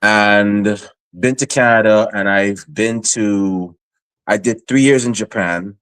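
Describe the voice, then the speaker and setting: A man's voice: lowish voice, takes it slowly, a bit bright, clear, resonant tone, dry recording, poor audio. A man from Guyana hosting a casual podcast interview, hyped in his introductions and rambling with many fillers. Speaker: podcast host.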